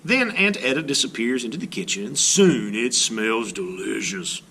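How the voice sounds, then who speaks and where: A man reading a children's story aloud as a teacher, in an expressive narrating style. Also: mid-range voice, moderate pace, slight background noise.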